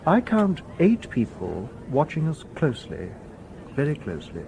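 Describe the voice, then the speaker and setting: An actor playing a polite, logical humanlike robot detective in a radio drama, with formal, precise speech. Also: male voice, on the high side, wildly swooping pitch, normal speed, gravelly, noisy background, full character voice. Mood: calm, watchful. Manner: formal, precise, unemotional wording.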